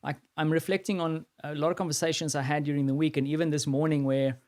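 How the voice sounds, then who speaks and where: A man's voice: fairly high pitch, steady tone, fast, a little mellow. A man with a South African accent hosting a live stream, talking casually and rambling with many fillers and restarts.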